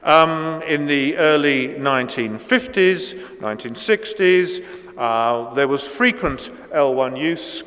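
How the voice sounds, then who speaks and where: A man in his sixties whose first language is Italian delivers a keynote lecture at an unhurried pace, stopping often on ums and restarting his sentences. His voice sits a little high for a man. The sound rings with the long reverb of a lecture hall. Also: a bit bright. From Italy. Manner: hesitant, many ums and restarts. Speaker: guest lecturer.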